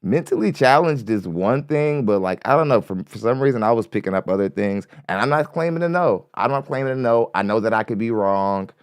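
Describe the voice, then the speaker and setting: Male podcast host, light-voiced, rambling and profane, smooth tone, close dry mic. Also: mid-range voice, animated, a little fast, hardly any pauses, no room sound. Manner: rambling, repeats himself, curses freely. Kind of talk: casual commentary on a clip. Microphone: studio mic.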